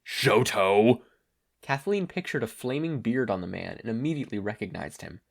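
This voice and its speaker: A man reading fan fiction aloud as an expressive, scripted narration. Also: medium pitch, fast talker, gravelly.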